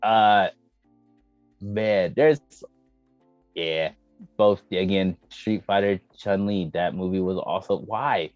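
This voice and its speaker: An American man co-hosting a casual chat, slangy and profane, breaking into exclamations and halting as he gathers his opinions. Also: deep voice, fairly even intonation. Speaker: podcast co-host. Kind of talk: casual banter about movies and anime. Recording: roomy, dull microphone sound with music behind.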